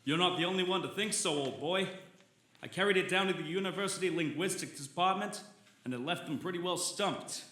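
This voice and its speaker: A man playing a confident, obsessive gentleman occult researcher in an old-time radio drama, with a smug, declamatory delivery. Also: high voice, brisk. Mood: smug, amused. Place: theater stage, live performance. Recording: roomy live stage sound.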